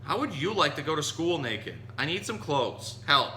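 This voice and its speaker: A man's voice: a little high, big pitch swings, normal speed, pushed and bright. A man voicing an embarrassed, panicky peach crayon from a picture book, whiny and pleading. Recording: roomy echo, some noise.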